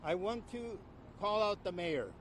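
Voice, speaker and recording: High-voiced man calling out officials. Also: fairly bright tone, slight background noise. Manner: measured, with repeated stumbles. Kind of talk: press conference statement.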